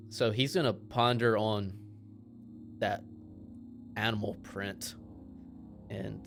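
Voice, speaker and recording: A man playing a tabletop roleplaying game, haltingly improvising what his character does. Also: fairly low pitch, creaky.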